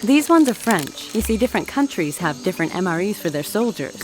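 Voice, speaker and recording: A woman's voice: mid-range voice, very animated, brisk, faint music behind, close to an everyday voice. A woman playing an earnest, worried former librarian on a dangerous mission in an audio drama, frank and a little wry. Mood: serious, frank.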